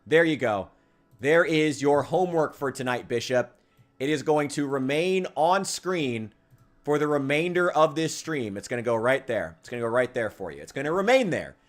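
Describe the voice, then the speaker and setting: Animated, profane male streamer. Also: normal speed. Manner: animated, profane, swings between loud hype and low mutters. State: losing his voice. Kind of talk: casual live-stream chat while gaming.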